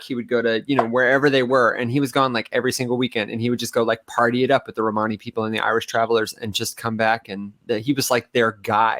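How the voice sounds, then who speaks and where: Man, nasal and reedy, slight Michigan accent, mid pitch, dry close sound, lively storyteller. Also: no room sound. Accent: Michigan, mostly neutral American. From Las Vegas, raised in Michigan. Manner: animated storyteller, laughs often. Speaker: co-host. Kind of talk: casual chat.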